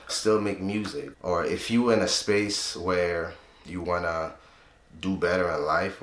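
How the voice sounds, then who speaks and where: A man's voice: deep voice, a bit bright, echoey room. A man in his twenties talking loosely and reflectively in a casual vlog, often tagging his points with "right?". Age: 20s. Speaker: vlogger. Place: room he uses as a home studio.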